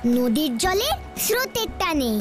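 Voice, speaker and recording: Little cartoon ghost girl: very high, swooping, eager and take-charge, music underneath. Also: very animated, a little slow. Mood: confident, curious. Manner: eager, confident, explaining. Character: bright, take-charge young ghost girl with magic powers. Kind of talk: children's cartoon dubbing. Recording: music behind the voice.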